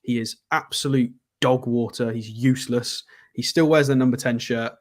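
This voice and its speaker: A man's voice: mid-range voice, fairly even intonation, moderate pace. A man co-hosting a casual live football chat show, blunt and opinionated, with frequent hesitant ums. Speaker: football pundit co-host.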